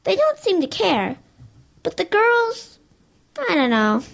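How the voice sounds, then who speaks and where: A woman's voice: very high-pitched, huge pitch swings, slow pace, creaky, exaggerated voice. A woman playing a timid, worried little schoolgirl in an audio drama, speaking hesitantly and plaintively. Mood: worried, hurt.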